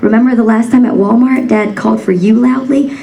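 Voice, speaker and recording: A woman's voice: on the high side, fairly even intonation, fairly quick, warm tone, recorded from a distance. A young woman from the United States performing a spoken word poem in a rhythmic, rhyming cadence, emphatic and defiant. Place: banquet hall stage.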